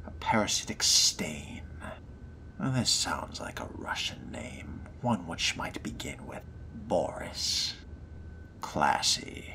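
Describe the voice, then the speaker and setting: A man voicing a sly, haughty vampire fledgling who muses to himself in a hushed, gravelly, theatrical whisper during a game role-play. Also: low voice, exaggerated voice. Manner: slow, theatrical, wry, formal wording.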